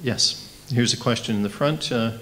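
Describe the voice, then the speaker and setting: A man's voice: mid-range voice, moderate pace, a bit bright, slight background noise. A man moderating a conference session, speaking into a table microphone and opening the floor to questions with many fillers. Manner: many fillers, repeats words. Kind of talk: chairing a Q&A. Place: conference hall.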